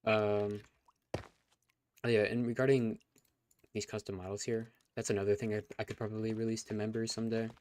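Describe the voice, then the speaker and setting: Young man chatting on a live stream, low voice. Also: flat delivery, fairly quick, long pauses, darkish tone, a little hiss or hum. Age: young adult. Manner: rambling, lots of fillers and restarts. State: voice going scratchy. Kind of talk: casual live stream chat.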